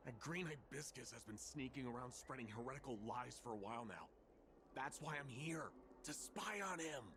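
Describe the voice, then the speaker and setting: A man's voice: mid-range voice, natural voice. An actor playing a wary, self-assured male soldier working undercover in a video game, talking in an urgent, informal, conspiratorial way.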